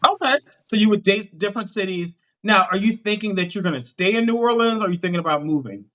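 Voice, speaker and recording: A man's voice: high voice, lively intonation, fairly bright tone. A man with a Pittsburgh accent hosting a live dating show, asking upbeat questions and often saying "okay, okay". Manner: upbeat, quick questions with frequent affirmations. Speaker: dating coach host.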